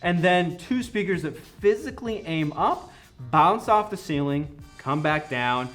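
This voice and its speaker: A man's voice: on the high side, lively intonation, unhurried, a bit bright. A man working as a company product representative, explaining a product enthusiastically in a conversational interview. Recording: music playing behind the voice.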